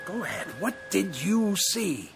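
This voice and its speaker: Courtly drunk old-style gentleman, creaky voice, swoopy delivery, radio drama, music under. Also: high-pitched, sing-song, measured pace, heavily acted. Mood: startled, curious. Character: courtly, tipsy gentleman, friendly and full of wonder. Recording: music behind the voice.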